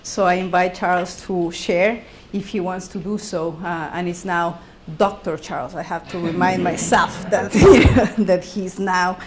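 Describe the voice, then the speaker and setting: A woman giving an academic talk in a university classroom, halting and repeating her words. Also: fairly low pitch, fairly even intonation. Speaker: guest speaker, professor. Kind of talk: academic lecture. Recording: echoey classroom, background hiss.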